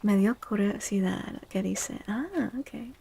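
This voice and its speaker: Casting: female ASMR stream host, soft and breathy, slightly creaky, unhurried, hushed and gentle. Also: medium pitch. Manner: slow, hushed, gentle, often slipping into near-whisper. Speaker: livestream host. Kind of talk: soft-spoken ASMR livestream chat.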